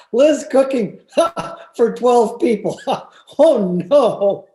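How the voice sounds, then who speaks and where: Teasing, easily amused lady friend for radio comedy female actor, unhurried and very lively in pitch, echoey room. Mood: laughing disbelief. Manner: exaggerated, giggly sitcom delivery. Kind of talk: old-time radio sitcom acting. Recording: echoey room over video call.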